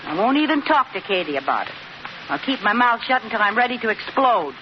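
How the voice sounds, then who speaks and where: An actress playing an excitable, meddling but well-meaning housewife in a 1950s radio sitcom, with a coy theatrical manner. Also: female voice, on the high side, fairly quick. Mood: reluctant, playful. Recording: muffled, noisy old radio recording, audience.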